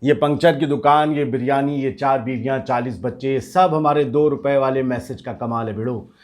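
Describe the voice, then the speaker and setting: A man's voice: medium pitch, fairly even intonation, moderate pace, lightly acted. A man acting as a cocky, cynical street agitator in a satirical drama, with brash, boastful, slangy talk that turns to menace. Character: cocky, cynical street agitator paid to stir religious hatred. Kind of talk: scripted satirical dialogue in street Hindi.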